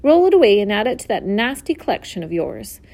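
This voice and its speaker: A woman's voice: medium pitch, big pitch swings, brisk, mellow. A woman voicing a proud, self-satisfied mother goose in a storybook reading. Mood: dismissive.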